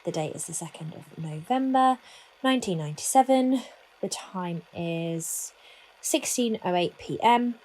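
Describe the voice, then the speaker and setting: Woman acting a stern, low-pitched police detective. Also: animated, a little mellow, low-quality recording. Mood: formal. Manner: formal, brisk, authoritative. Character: stern, probing female police detective inspector leading interrogation. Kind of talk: audio drama acting.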